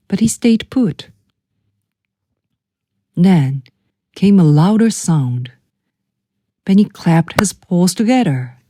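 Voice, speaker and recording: Slow, expressive woman storyteller. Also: on the low side, no room sound, studio quality. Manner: deliberate, expressive phrasing. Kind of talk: children's storybook narration. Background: background music.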